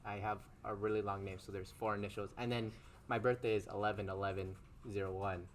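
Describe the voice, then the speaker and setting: A man's voice: fairly low pitch, level tone, a little fast, a little hiss or hum. A young man whose first language is Tagalog, telling a story with frequent laughs in a casual podcast chat. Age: young adult. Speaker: esports team member.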